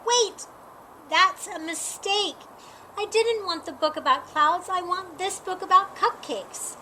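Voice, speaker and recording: A woman voicing an excitable, earnest young schoolgirl who exclaims her lines in a children's storybook reading. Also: very high voice, slow, voice pushed up, full character voice. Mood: dismayed. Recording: clearly noisy background.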